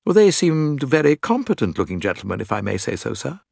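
A man's voice: mid-range voice, very animated, brisk, gravelly, darkish tone, husky, dry recording, studio quality, voice pushed up, exaggerated voice. A man playing a polite, unflappable English manservant who speaks deferentially, in a story reading. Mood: respectful, gloomy.

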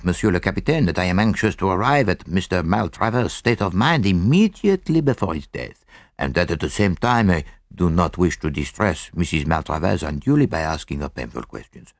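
A shrewd, polite detective from an audiobook mystery, acted by a man. He has a French accent and a very animated, swooping delivery with a gravelly edge. He probes gently and deliberately, in a close, dry sound. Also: low-pitched, no echo, full character voice. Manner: courteous, deliberate, with questioning interjections. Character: shrewd, courteous foreign male detective, gently probing.

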